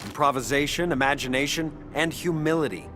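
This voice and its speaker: A man's voice: fairly high pitch, brisk, over loud music, lightly acted. A man voicing a flippant, self-assured archer superhero who banters in a video game. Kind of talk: video game character dialogue. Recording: very noisy game battle effects behind.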